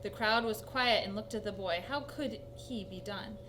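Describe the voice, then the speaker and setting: A woman reading a rhyming children's story aloud in an animated, theatrical way. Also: mid-range voice, normal speed. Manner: animated, theatrical with character voices. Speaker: storybook reader. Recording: noisy recording with steady hum.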